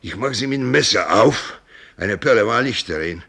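Gravelly old sea captain. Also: male voice, fairly low pitch, expressive, moderate pace, bright, forceful tone, heavily acted. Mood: animated storytelling. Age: older. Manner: rambling, folksy storyteller, sprinkles in English words. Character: old sea captain, boisterous, tipsy, sentimental, shrewd. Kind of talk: radio play acting.

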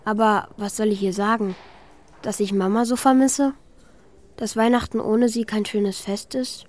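Woman as pensive, high-voiced child in radio play. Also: darkish tone, lightly acted. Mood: sad, pensive. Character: Mika, pensive child missing a parent at Christmas. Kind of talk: radio play first-person narration and dialogue.

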